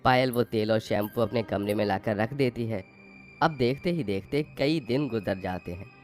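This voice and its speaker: A man's voice: on the low side, normal speed. A man narrating a scripted moral tale as its storyteller. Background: faint music.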